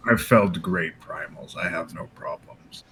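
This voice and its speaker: A man voicing a terse, boastful, deadpan adventurer in a tabletop game, with a husky voice. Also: sits low, normal speed, full character voice. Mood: deadpan boasting. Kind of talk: improvised in-character roleplay.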